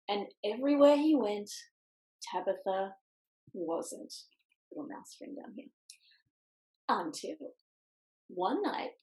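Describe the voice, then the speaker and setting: Woman doing kids storytime reading, higher voice, very expressive, echoey room on a video call. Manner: expressive, dramatic pauses and emphasis on words. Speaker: picture book author reading aloud. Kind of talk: animated children's storytime reading with quiet asides. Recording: roomy echo on video call.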